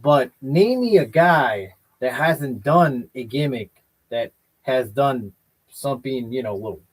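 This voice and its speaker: A man's voice: mid-range voice, expressive, slow pace, breathy edge, low-quality recording. A man hosting a casual wrestling chat show, giving blunt, opinionated takes and often hedging with "I feel like". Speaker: podcast host.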